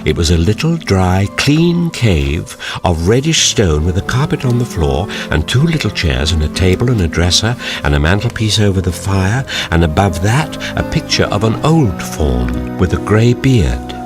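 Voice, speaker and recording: A man's voice: low voice, very animated, normal speed, few pauses, over music. A man narrating a children's story from a script, as the narrator of an audio drama.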